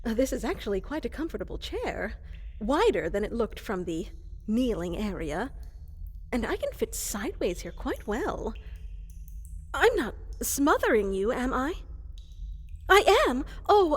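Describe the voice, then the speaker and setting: A woman's voice: fairly high pitch, husky, exaggerated voice. A woman playing a fluffy, woolly llama-like creature in a fantasy roleplay, eager to serve as an evil advisor, speaking with flustered, hesitant politeness. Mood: nervous, bashful. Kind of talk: roleplay ASMR character acting. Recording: long reverberant hall echo.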